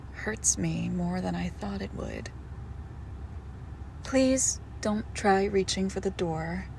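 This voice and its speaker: A monstrous girlfriend, played by a woman, speaks very slowly with a creaky edge, sweetly menacing and possessive. Her phrasing is intimate and condescending, like a horror roleplay for one listener. There is faint noise behind her. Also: mid-range voice, darkish tone. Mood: quietly menacing. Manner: condescending, darkly affectionate, drawn-out deliberate phrasing. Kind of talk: intimate horror roleplay audio, slow and hushed.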